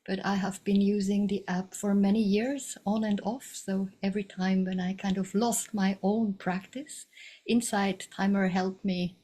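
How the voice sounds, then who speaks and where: A woman from Switzerland, a yoga teacher and coach, introducing herself in a calm, earnest way. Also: mid-range voice, a little slow. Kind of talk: casual self-introduction.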